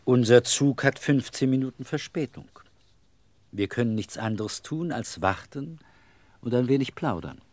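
A man's voice: medium pitch, measured pace, darkish tone, no echo, close to an everyday voice. An actor in a radio play voicing an eccentric middle-aged beggar with bourgeois airs, telling his story in a wry, theatrical, rambling way. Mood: chatty, ingratiating.

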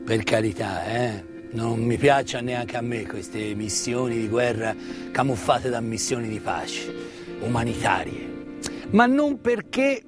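Low-voiced man, theatrical storyteller. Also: expressive, measured pace. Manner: rhetorical, emphatic, with repetitions and questions. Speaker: stage narrator and actor. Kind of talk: theatrical monologue, conversational storytelling about wartime history. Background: live original music.

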